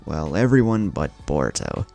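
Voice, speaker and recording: A male narrator reads scripted adventure fiction in a dramatic manner. His voice is low and gravelly, with lively movement in pitch as he tells the story. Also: moderate pace. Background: music bed.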